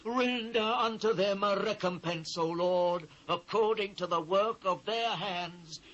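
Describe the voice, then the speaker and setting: A man's voice: high voice, measured pace, dull audio, crowd noise. A British man playing an anguished biblical prophet in a dramatised scripture reading, solemn, declamatory and impassioned. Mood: crying out, bitter. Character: anguished male biblical prophet lamenting his ruined people. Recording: faint noise, old cassette transfer.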